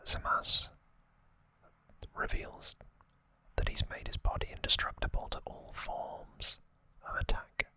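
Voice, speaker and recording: A man hosting an ASMR video, giving a casual recap in a breathy, unvoiced whisper with a halting, intimate delivery. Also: sharp tone, low-quality recording.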